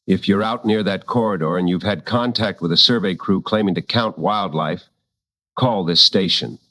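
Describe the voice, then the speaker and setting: A veteran host of a late-night paranormal show in a radio drama. His voice is fairly low and sits dry and close. He talks deliberately, firm and wry, protective of his listeners. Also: pushed and bright, dry recording. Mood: grave, deliberate.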